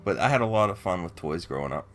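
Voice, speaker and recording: A man's voice: low-pitched, expressive, fast, faint music behind. A man co-hosting a toy show in casual, informal conversation. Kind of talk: casual toy review chat.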